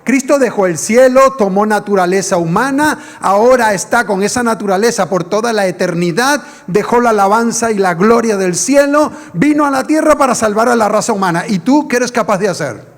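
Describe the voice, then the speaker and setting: Canary islands pastor guy, middle-aged, pretty high voice for a man, earnest preaching, keeps asking the crowd questions. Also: lively intonation, fairly quick, few pauses. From Canary Islands, raised in Venezuela. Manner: earnest, fires rhetorical questions at the congregation. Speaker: guest pastor. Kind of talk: evangelistic sermon.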